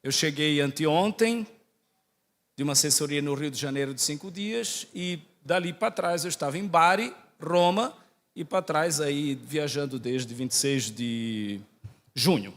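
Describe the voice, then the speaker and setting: A man's voice: fairly high pitch, unhurried, fairly bright tone. A man from southern Brazil with a Santa Catarina accent close to Portuguese, a priest giving a conversational religious talk, teaching and often putting questions to his listeners. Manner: didactic, asks the audience questions and checks in often. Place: large echoing hall. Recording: echoey hall with long reverb.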